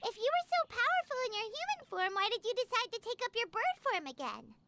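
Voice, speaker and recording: Squeaky excitable little game sprite. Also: female voice, quick pace, slight background noise, exaggerated voice. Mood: curious. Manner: chirpy, exaggerated, refers to self in third person. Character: small floating childlike companion, excitable, talks of herself by name. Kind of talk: video game character voice acting. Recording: music behind the voice.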